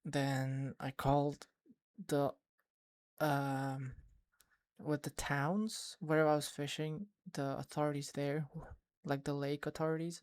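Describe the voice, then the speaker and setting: A man, a fishing vlogger, talks over his footage in a level, monotone voice. he rambles and restarts a lot. the sound is dry and close, with no room in it. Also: on the high side, dry recording. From Sweden. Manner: rambling, many restarts and fillers. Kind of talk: casual voice-over recap.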